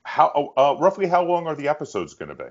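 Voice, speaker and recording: A man's voice: fairly high pitch, big pitch swings, fast, lo-fi sound. A man hosting a casual interview, asking questions and commenting in a conversational style. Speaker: interviewer, online show host.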